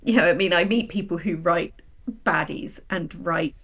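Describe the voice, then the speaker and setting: A woman chatting as an interview guest about her writing, telling stories in an animated, self-deprecating, wry way. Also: fairly low pitch, moderate pace, highs cut off. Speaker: guest, writer of audio drama. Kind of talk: casual interview chat, personal anecdotes.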